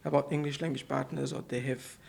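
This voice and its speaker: A man talks as a podcast guest in an office, at a middle pitch for a man. His voice has a gravelly, creaky edge. He speaks hesitantly and often repeats words and fills gaps while finding his phrasing. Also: little pitch movement, brisk, darkish tone. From Pakistan. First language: Urdu. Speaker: guest, center manager. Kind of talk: casual podcast interview.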